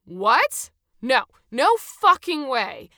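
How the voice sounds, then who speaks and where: A stubborn, protective husband with a strong sense of justice, played by a woman narrator. It is storybook narration with animated pitch movement, recorded dry and close. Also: unhurried, no echo. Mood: heated, defiant. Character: level-headed but mule-headed husband, protective and indignant.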